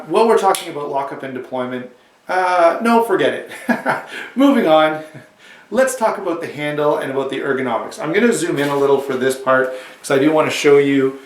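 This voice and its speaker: A man with a cold and a slightly hoarse voice, casually reviewing a knife to camera, rambling with restarts and asides. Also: mid-range voice, reverberant, recorded from a distance, a little hiss or hum.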